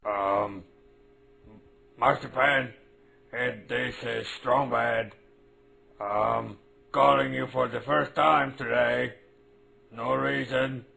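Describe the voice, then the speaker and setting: A man voicing a mischievous, gruff prank caller in a cartoon, bluffing in a halting, fake-formal way. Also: medium pitch, steady tone, bright, forceful tone, full character voice. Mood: awkwardly scheming. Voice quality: gravelly. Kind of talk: cartoon phone-message acting.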